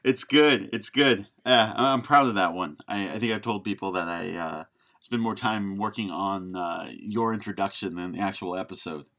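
Guy hosting a film podcast, kinda low voice, chatty, jokes at his own expense. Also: normal speed, fairly bright tone. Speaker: podcast host. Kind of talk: casual film discussion.

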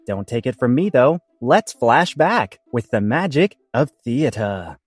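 Male comedy co-host, lively swooping intonation, middle register, theatrical delivery. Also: medium pitch, unhurried, slightly rough, dry recording, faint music behind. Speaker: podcast co-host. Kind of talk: theatrical comedy banter and narration.

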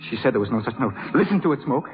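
An actor playing a delirious, sick trumpet player, rambling and repeating himself with desperate yearning, in a 1950s radio drama. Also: male voice, mid-range voice, sing-song, rapid-fire, natural voice. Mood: feverish yearning. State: weak and feverish, acted. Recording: muffled 1950s radio broadcast with music.

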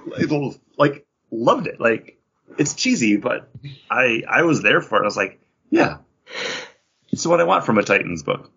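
Male comics podcast co-host, husky voice, casual delivery, lo-fi sound. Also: medium pitch, sing-song, a little slow, sharp tone. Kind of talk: casual chat reviewing comics. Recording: dull lo-fi sound.

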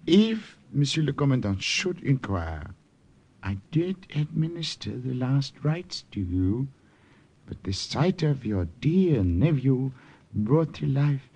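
An actor playing a shrewd priest of the resistance in a 1940s radio drama, speaking softly. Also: male voice, mid-range voice, big pitch swings, slow pace, husky, exaggerated voice. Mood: sly, gentle. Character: shrewd French village priest in the underground.

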